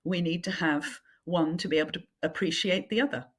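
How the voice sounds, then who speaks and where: Low, husky woman author. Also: brisk. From England, Yorkshire. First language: French. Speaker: author guest. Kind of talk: casual interview chat. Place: home in Yorkshire, remote call. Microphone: video call.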